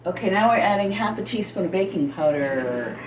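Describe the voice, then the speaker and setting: A woman's voice: mid-range voice, lively intonation, fairly quick. A middle-aged woman chatting casually and thinking aloud as she demonstrates home cooking, in a rambling manner. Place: home kitchen, indoors. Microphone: camera set a little away. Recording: roomy, distant mic, faint noise, kitchen clatter.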